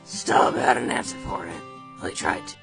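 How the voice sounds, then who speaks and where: Give me a chatty woman reading a story aloud, gravelly voice, music in the background. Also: rumbling low, expressive, normal speed. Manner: chatty, breaks off to laugh and comment on the text. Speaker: storytime host. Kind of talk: casual read-aloud storytelling.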